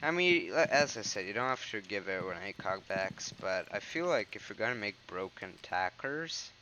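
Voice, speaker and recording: A man's voice: fairly low pitch, animated, moderate pace, pushed and bright, lo-fi sound, a little hiss or hum. A young man giving casual, rambling commentary over gameplay, sounding a bit congested. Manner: rambling, filler-heavy, pointing at footage. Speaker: gaming commentator. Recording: game sounds and gunfire behind.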